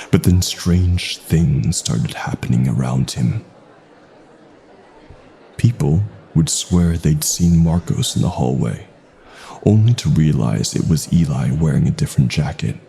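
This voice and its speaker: Very deep, gravelly, slow male narrator. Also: dark tone. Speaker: horror story narrator. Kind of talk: scripted horror story narration.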